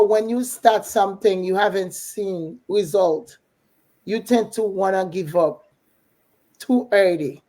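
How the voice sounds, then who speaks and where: A woman's voice: lowish voice, warm tone, poor audio. A middle-aged woman from Haiti with a Haitian accent, a coach chatting casually and encouragingly. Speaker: business coach and mentor. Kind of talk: casual motivational chat.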